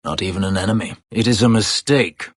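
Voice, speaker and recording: A man performs a low, husky elven fighter from a fantasy video game, once a slave and now guarded, proud and bitter. He speaks in grave, terse, formal phrases with a gravelly edge. The sound is close and dry. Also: brisk, dry recording, close to an everyday voice. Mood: grave, resigned. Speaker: video game character actor. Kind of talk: dramatic video game dialogue.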